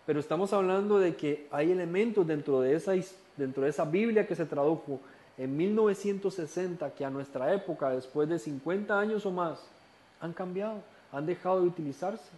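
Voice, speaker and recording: A man with a Costa Rican accent and a fairly high voice teaches a recorded Bible study course. He explains calmly, asks rhetorical questions and sometimes restarts a word. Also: fairly quick. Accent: Costa Rican Spanish. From Costa Rica.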